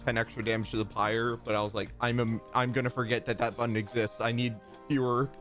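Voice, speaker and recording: Halting man with a mid-range voice. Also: mid-range voice, little pitch movement, a bit bright, soft background music. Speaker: player. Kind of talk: casual chat about a game.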